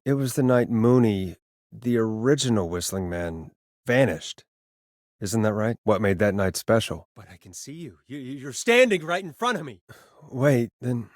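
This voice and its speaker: A man playing an earnest, rattled radio host in a video game, speaking in a halting, conversational way as he reasons with a killer. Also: lowish voice, no echo, clean studio sound. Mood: shocked, probing, then confused, alarmed. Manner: halting, stammering, conversational delivery. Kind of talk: dramatic video game voice acting.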